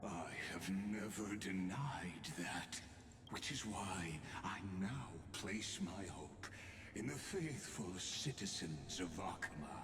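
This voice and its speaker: A man playing a cold, menacing army commander in a fantasy game, speaking in a measured, formal way. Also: low voice, expressive, noisy background. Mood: cold menace. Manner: slow, measured, coldly formal. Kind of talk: fantasy video game voice acting. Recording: roomy reverb under game music.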